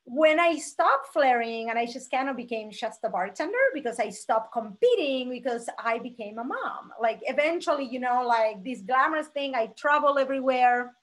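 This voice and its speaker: Argentinian-born woman in her 40s, animated guest telling her story, slightly high voice. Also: moderate pace, few pauses. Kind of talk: conversational interview storytelling.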